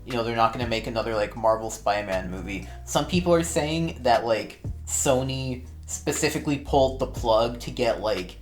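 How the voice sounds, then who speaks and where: A man in his twenties co-hosting a podcast, talking in a relaxed, rambling way. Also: medium pitch, normal speed, few pauses, soft background music. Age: 20s. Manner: relaxed, lots of "like" fillers. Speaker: podcast co-host. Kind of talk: casual chat. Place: large empty echoey room.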